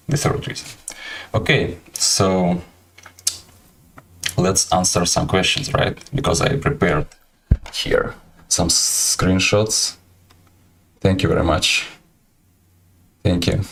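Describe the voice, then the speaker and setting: Ukrainian-accented male host, very low voice, halting casual livestream chat. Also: steady tone, vocal fry, fairly bright tone, slight background noise. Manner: halting, many fillers and restarts.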